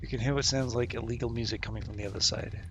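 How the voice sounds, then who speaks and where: A man with a gravelly voice doing casual livestream game commentary, muttering as he thinks aloud and reads game text. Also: medium pitch, fairly even intonation, very fast. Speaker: game streamer. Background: game music.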